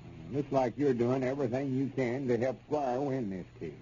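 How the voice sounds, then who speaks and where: An actor playing a fussy, scolding old storekeeper with a rural Southern American accent, folksy and reproachful, in 1930s radio comedy style. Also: male voice, medium pitch, lively intonation, normal speed, dark tone, close to an everyday voice. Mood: exasperated. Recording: dull, noisy old radio recording.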